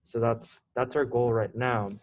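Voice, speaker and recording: A teenage boy from South Korea, a student organizer hosting a casual video call meeting, talking haltingly with lots of fillers. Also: medium pitch, fairly even intonation, no room sound. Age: teens.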